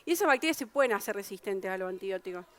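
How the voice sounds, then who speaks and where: A woman's voice: medium pitch, animated, very fast, no room sound. A woman from Argentina with an Argentine Spanish accent, a researcher explaining her work at a public science talk, with frequent fillers and restarts.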